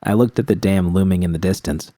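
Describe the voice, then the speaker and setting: A man's voice: low voice, fairly quick, dark tone, no echo, clean studio sound. A man reading scripted first-person fiction as a narrator.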